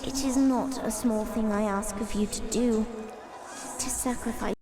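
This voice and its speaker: A woman's voice: fairly high pitch, steady tone, unhurried, crowd noise. A woman playing a dying magical tree seed spirit in a fantasy audio drama, speaking in a formal, grave, earnest way.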